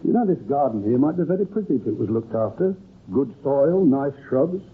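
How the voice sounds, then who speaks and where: A man's voice: mid-range voice, big pitch swings, fairly quick, slightly rough. An actor playing an observant, plain-spoken male investigator in an old-time radio crime drama, with a conversational manner. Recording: tinny old radio recording.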